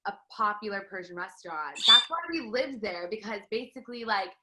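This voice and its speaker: Young woman, bright clear voice, from Los Angeles, casual podcast chat, filler-heavy and laughing. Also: mid-range voice, brisk, rough recording. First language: Farsi. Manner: chatty, laughs often, many fillers and restarts. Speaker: podcast guest.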